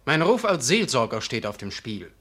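An actor playing a proud, aggrieved young curate in a radio drama, formal, self-righteous and declamatory. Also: male voice, mid-range voice, sing-song, normal speed, a bit bright, breathy edge. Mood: insistent. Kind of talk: German radio play acting.

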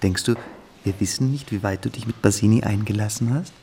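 An actor playing a menacing, condescending teenage boarding-school bully in a radio play, speaking in a measured, superior way. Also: male voice, on the low side, creaky, warm tone, a little hiss or hum. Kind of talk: German radio play acting.

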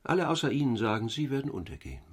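An actor playing a guarded, reluctant company man in a radio drama, husky-voiced and uneasy in manner. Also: mid-range voice, big pitch swings, racing. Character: evasive, reluctant corporate representative under questioning. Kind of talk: German radio drama acting.

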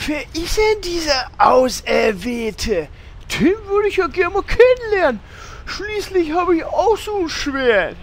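A performer playing a dim-witted, eager young male adventurer who talks slowly and dopily and sometimes shouts, in a comedy audio drama. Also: very high voice, big pitch swings, heavily acted. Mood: dopey. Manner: slow, dopey, shouting at times.

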